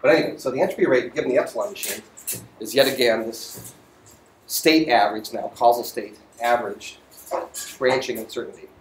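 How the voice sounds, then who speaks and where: A man giving an informal university physics lecture, halting as he thinks aloud. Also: medium pitch. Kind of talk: lecture voiceover on slides, informal explaining. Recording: roomy echo, faint background noise.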